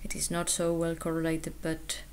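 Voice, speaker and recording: A woman research scientist giving an explanatory conference presentation, hesitant, with frequent pauses and ums. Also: low voice, monotone, a little mellow, a little hiss or hum.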